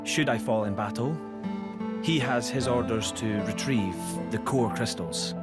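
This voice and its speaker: An actor playing a dutiful, modest young emperor in a video game, speaking in a measured, formal and polite way. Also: male voice, mid-range voice, natural voice. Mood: formal, resolute. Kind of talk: video game cutscene acting. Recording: loud music under the dialogue.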